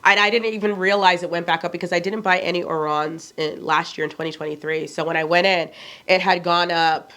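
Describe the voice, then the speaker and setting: A woman's voice: on the low side, fairly even intonation, brisk, sharp tone. A woman in her forties with a Nigerian accent, chatting casually to camera as a fashion vlogger, blunt and candid, asking herself rhetorical questions. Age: 40s.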